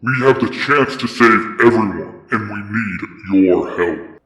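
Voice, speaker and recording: A man playing a solemn, commanding disembodied mentor of heroes in an audio fan fiction, grave and measured. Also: fairly low pitch, fairly bright tone. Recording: echoing, reverberant voice effect.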